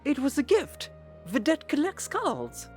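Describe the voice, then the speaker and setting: A woman's voice: sits high, huge pitch swings, measured pace, a little mellow, soft background music, full character voice. A woman playing a polite, eager, slightly flustered hostess in a comedic audio drama. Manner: earnest, hesitant, searching for words.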